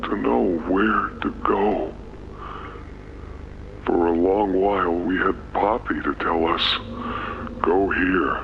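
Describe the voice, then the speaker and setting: Slow, low, weary gentle monster. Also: male voice. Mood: hesitant, troubled. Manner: halting, hesitant, simple-worded confiding. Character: weary, scared, gentle monster leader recording a confession. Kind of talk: video game audio log monologue. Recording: dull, noisy lo-fi tape recording.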